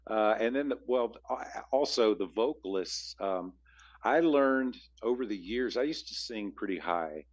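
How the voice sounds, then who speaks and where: A man with a very low bass voice, answering interview questions as a musician guest, rambling with frequent restarts. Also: animated, measured pace, lo-fi sound.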